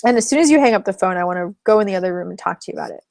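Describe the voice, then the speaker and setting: Woman coach, webinar teacher, slight vocal fry, dry close sound, chatty and explanatory. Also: medium pitch, animated, very fast, darkish tone, no echo. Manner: chatty, explanatory, laughs between points. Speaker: relationship coach.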